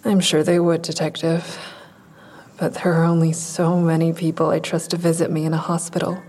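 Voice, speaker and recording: Resigned psych patient, low husky voice, flat and sardonic, audio drama. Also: female voice, moderate pace. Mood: dry. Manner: dry, deadpan.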